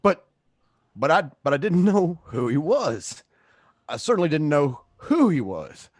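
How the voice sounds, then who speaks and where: A man's voice: a little high, very animated, measured pace, no room sound, pitched above the performer's own voice. Man acting a frantic, stammering accused man. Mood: panicked, defensive. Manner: stammering, pleading. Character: frightened, desperate man pleading his innocence to neighbours. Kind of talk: radio play acting.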